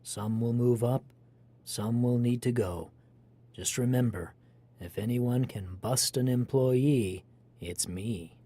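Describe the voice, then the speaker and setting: A man's voice: medium pitch, slow, mellow. A conniving operations executive in an audio comedy. His mid-range voice is smug and ingratiating, with self-important phrasing.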